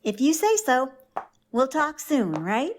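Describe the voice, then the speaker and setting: A woman playing a brisk, independent, prickly guest in an audio drama, with a dry, clipped manner. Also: high-pitched, sing-song, slight background noise, full character voice. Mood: curt, offended.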